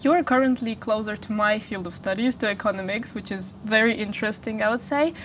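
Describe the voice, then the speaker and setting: A woman's voice: medium pitch, a little fast, a bit bright, slight background noise. A woman in her twenties hosting a studio interview, upbeat and chatty, asking long, winding questions. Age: 20s. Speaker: interview host. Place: small studio. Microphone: lapel.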